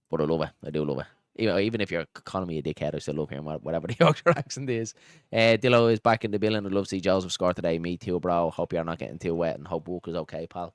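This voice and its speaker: Male fan-stream football commentator, Dublin accent, low pitch, chatty and pally with viewers, dry sound. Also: clear, resonant tone, dry recording. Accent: Dublin Irish. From Dublin, Ireland. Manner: informal, matey, addresses viewers as pal and buddy. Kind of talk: live football commentary mixed with casual chat to viewers.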